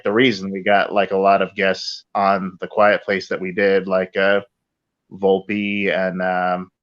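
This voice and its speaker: A man chatting casually on a podcast panel, speaking in a hesitant, halting way with searching pauses. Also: low voice, a bit bright. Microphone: online call. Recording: dull, lo-fi internet call audio.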